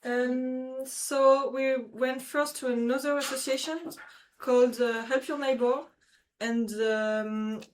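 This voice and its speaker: A nineteen-year-old French woman speaking English with a French accent, halting and searching for words as a guest volunteer. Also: sits high, fairly even intonation, a little hiss or hum, low-quality recording. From France.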